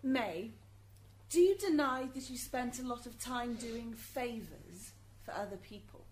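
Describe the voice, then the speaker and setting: A woman's voice: fairly high pitch, lively intonation, measured pace, a little mellow, a little hiss or hum. A woman playing a relentless, accusing prosecutor in a radio drama, pointed, curt and insistent. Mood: probing.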